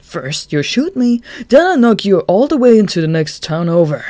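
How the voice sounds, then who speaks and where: A man voicing a brash, hot-headed young man who taunts his enemies loudly and cockily. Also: fairly high pitch, normal speed, dry recording, studio quality, lightly acted. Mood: taunting. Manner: loud, cocky, taunting.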